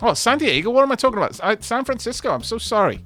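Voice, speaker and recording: Animated man chatting on stream. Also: very high-pitched, fast talker, faint music behind. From Wales. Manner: animated, rambling, self-deprecating banter with chat. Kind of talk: casual game stream chat.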